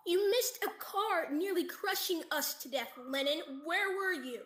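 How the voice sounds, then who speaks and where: A woman's voice: extremely high pitch, animated, measured pace, fairly bright tone, exaggerated voice. A teenage woman acting an anxious, sceptical survivor in a radio theatre play. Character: anxious, sceptical trapped survivor, quick to blame. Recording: echoey room, faint noise.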